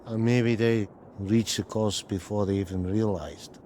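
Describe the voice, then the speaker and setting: An actor playing a polite, resigned Soviet engineer in a game, with a Russian accent and halting English. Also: male voice, lowish voice, steady tone, a little hiss or hum, lightly acted. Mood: resigned, sorrowful. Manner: halting, sparse non-native English phrasing. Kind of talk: naturalistic video game drama acting. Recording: loud music behind dialogue.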